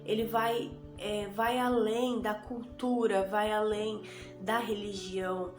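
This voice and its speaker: A woman's voice: medium pitch, flat delivery, takes it slowly, roomy sound, faint music behind. A Brazilian Portuguese woman with a cold giving an unscripted personal testimony, hesitant and full of fillers as she talks to the camera. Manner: hesitant, filler-heavy, repeats herself. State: has a cold, sniffling. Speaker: vlogger giving a conversion testimony. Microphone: camera and phone.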